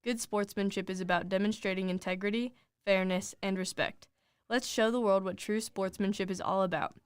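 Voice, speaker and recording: Clear, dry-sounding young woman reading announcements. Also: medium pitch, steady tone, normal speed, no room sound. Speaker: student athlete reading public service announcement. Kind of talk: scripted announcement read.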